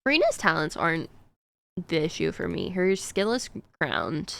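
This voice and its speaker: A woman's voice: low voice, wildly swooping pitch, normal speed, creaky, a bit bright. A woman streaming a game, chatting casually to her viewers in a rambling way with frequent fillers. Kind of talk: casual chat while gaming.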